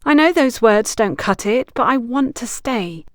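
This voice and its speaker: A woman's voice: a little high, animated, a little slow, dry recording, voice pushed up. A woman narrator acts out a needy, troubled young woman from an audiobook drama. Her speech is emphatic and dramatic, rising and swooping widely in a dry, close recording. She comes across as impulsive and exposed. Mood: remorseful, earnest. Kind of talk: audiobook character dialogue.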